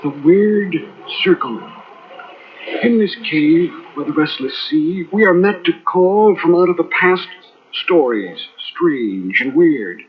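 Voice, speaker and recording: A man playing a grim, solemn storyteller in a sea cave, in old radio horror style with a husky, theatrical delivery. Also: a little high, expressive, slow. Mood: solemn, eerie. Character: grim bellkeeper-summoning storyteller in a seaside cave. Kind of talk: 1940s radio horror acting. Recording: tinny, band-limited old radio recording.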